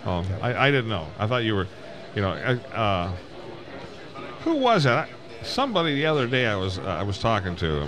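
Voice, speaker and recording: A man hosting a casual live radio show, chatting in a folksy, rambling way that circles back and repeats. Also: low voice. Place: live broadcast from a busy ham radio convention. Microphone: broadcast microphone with PA amplifier. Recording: crowded convention floor, busy background chatter.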